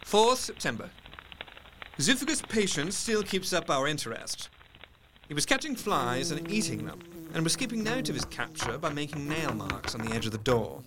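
Fairly high, earnest young doc reading his letters calmly. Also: normal speed, background noise. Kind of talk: radio drama, reading letters and diary entries.

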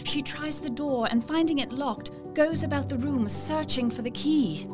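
Actress as anxious young lady diarist, period drama, slightly high voice, precise and thoughtful, music playing behind. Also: moderate pace, lightly acted. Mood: anxious, puzzled. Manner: earnest, reflective, precise. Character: worried, devoted young woman writing her journal. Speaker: voice actor. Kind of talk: dramatic journal narration, period audio drama.

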